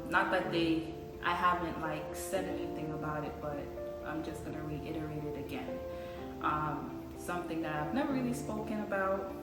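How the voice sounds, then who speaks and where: A woman chats casually and personally to her viewers as a video host, in a halting, hesitant way with many pauses. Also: deep voice. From Jamaica. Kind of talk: casual personal chat to camera. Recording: very echoey room, distant mic, loud music.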